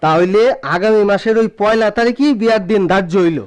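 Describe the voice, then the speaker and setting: Man playing an insistent high-voiced village uncle. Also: fairly quick, smooth, lightly acted. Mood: smooth, insistent. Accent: rural Bangladeshi. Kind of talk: Bengali radio play acting.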